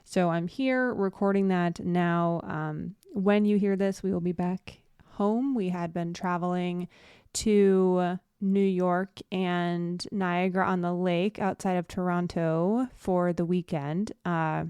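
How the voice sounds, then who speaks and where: A woman's voice: fairly low pitch, steady tone, takes it slowly, mellow, clean tone, dry recording. A young adult woman hosting a solo podcast, chatting casually and rambling with fillers and restarts, sounding a bit stuffy and nasal. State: congested. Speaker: podcast host.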